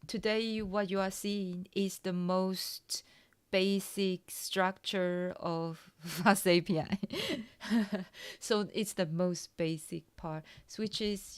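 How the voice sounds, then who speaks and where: A woman, a Mandarin speaker, talking softly and hesitantly through a coding tutorial with many fillers and pauses. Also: fairly low pitch, clean tone. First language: Taiwanese Mandarin. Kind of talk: soft-spoken ASMR coding walkthrough.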